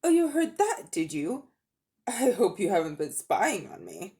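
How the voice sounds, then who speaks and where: A woman acts out a sly, self-important older magician uncle from a storybook. He talks down to his listener in grand, condescending tones, with a very lively, swooping range of pitch. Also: unhurried. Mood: smug, excited.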